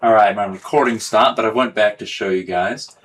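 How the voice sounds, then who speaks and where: Low-voiced man, casual demo, noisy recording. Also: fairly quick, a bit bright. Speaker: host of a tech demonstration video. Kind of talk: casual hands-on demonstration. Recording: clearly noisy recording with clicks.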